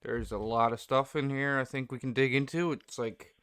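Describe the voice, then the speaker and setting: A man's voice: medium pitch, steady tone, normal speed, a bit bright, clear, resonant tone, no echo, clean studio sound. A man speaking as a guest in a casual fan discussion, agreeable and appreciative.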